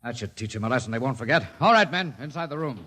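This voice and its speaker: A man's voice: medium pitch, lively intonation, fast talker, over music, natural voice. An actor playing a scornful male army lieutenant in a 1950s radio drama, barking orders. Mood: commanding. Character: brutal, sneering male military lieutenant.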